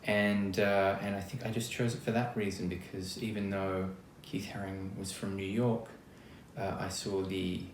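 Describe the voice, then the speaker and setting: An Australian-accented man reading a picture book to children as an actor, expressive and chatty, speaking straight to his young listeners. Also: low-pitched, a little slow. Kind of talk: children's storytime read-aloud with casual asides. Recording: roomy echo, clear background noise.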